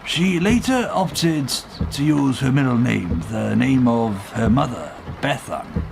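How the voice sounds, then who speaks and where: A man's voice: medium pitch, lively intonation, slow, vocal fry, exaggerated voice. A man playing a weary, guarded, protective Welsh father in a radio play, speaking politely and hesitantly in an old-fashioned way. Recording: noisy vehicle ambience behind voice.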